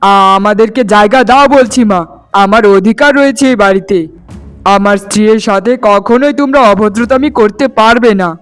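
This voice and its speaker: A man's voice: high voice, clean tone, close to an everyday voice. A man voicing an earnest young husband in a cartoon melodrama, heartfelt, dramatic and insistent. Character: earnest, emotional young husband devoted to his bride.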